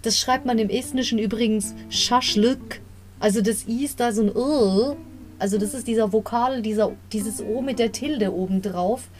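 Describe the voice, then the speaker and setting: Young woman rambling through a story recap, fairly high voice, soft guitar underneath. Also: normal speed. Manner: chatty, rambling asides, corrects herself mid-story. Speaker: podcast host reviewing an audio drama episode. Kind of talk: casual retelling and commentary. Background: faint guitar music.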